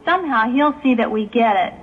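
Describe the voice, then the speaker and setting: A woman's voice: on the high side, expressive, brisk, breathy edge. A woman playing an agreeable, earnest young engaged woman in a 1960s religious audio drama. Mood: thoughtful. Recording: muffled, hissy 1960s tape, phone-like.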